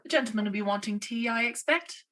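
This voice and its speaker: A woman playing a practical, matter-of-fact farm aunt who gives brisk orders in a radio play. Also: on the high side, a bit bright, close to an everyday voice.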